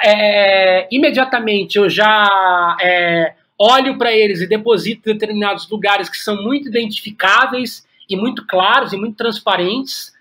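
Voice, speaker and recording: A man with a Brazilian accent speaking reflectively as an interview guest, halting and repeating words as he thinks. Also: sits high, pushed and bright. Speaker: guest playwright.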